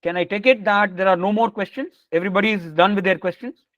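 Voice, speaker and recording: A man's voice: high-pitched, quick pace, no echo, dull audio. A man whose first language is Tamil, patiently explaining philosophical terms in an informal lecture over a video call. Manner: patient, explanatory, gently joking. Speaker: teacher of a lecture series. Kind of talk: informal spiritual lecture with questions.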